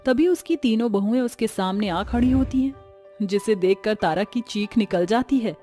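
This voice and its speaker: Lady narrating a dramatic cartoon story, soft mellow voice, light music behind. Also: medium pitch, animated, normal speed.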